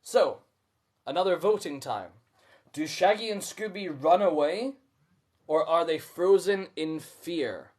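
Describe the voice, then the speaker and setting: A man's voice: a little high, slow pace, darkish tone. Man hosting an interactive storytime. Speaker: storytime host and reader. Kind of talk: live storybook reading with chatty asides.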